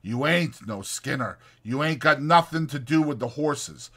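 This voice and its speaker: A man's voice: medium pitch, expressive, a little slow, fairly bright tone, pitched above the performer's own voice. A man voicing a proud, aloof, crippled stable hand who grumbles sharply in speech. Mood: guarded, complaining.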